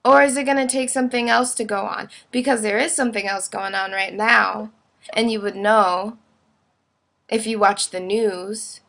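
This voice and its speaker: A woman's voice: mid-range voice, steady tone, moderate pace, creaky, fairly bright tone. A young woman giving a casual, opinionated video monologue in a blunt, informal way, peppering her sentences with "like".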